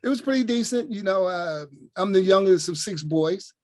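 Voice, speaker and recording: An older man from Newark reminisces in a relaxed, unhurried way and keeps checking in with "you know what I'm saying." His voice sits a little high for a man. The sound is dry and close, with no room around it. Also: no echo. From Newark, New Jersey. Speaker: retired coach, guest. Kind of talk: relaxed storytelling.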